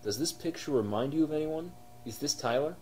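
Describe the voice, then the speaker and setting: A man playing a shaken, solemn police officer in an analog horror drama, speaking in a halting, matter-of-fact way. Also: animated, dark tone, natural voice. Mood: questioning, solemn. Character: grim, shaken male police officer investigating monsters. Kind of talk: analog horror found-footage acting. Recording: noisy, rumbling amateur recording.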